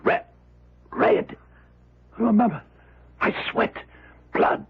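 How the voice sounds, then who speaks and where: An actor playing a terrified, wicked old miser, stammering and gasping in melodramatic radio drama style. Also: male voice, very slow. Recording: tinny band-limited radio drama recording.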